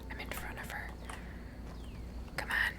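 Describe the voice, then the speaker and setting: A woman whispering casual ASMR commentary as she plays a game, musing aloud. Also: measured pace. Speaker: ASMR gamer, channel host.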